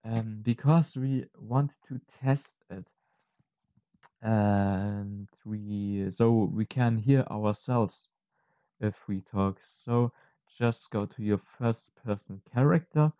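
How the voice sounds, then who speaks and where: A man presenting an unscripted software tutorial, explaining step by step in a halting, hesitant way. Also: fairly low pitch, clear, resonant tone, no echo.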